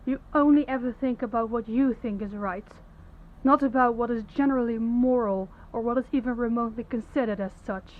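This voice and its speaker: A woman's voice: fairly high pitch, narrow, tinny audio, natural voice. A woman playing a young princess, stern, principled and formal, in an audio roleplay. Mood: indignant, scornful. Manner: formal, commanding, measured diction. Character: young princess, stern, authoritative, compassionate, defiant.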